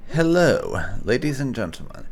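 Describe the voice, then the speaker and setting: A man's voice: medium pitch, wildly swooping pitch, normal speed, a little mellow. A man giving casual, sweary and wry commentary as the host of a gaming video.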